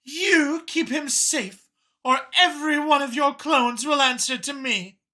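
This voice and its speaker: A man's voice: very high-pitched, measured pace, pushed and bright, voice pushed up, heavily acted. A man voicing a haughty, exasperated female dragon in an audiobook story, imperious and indignant. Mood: threatening.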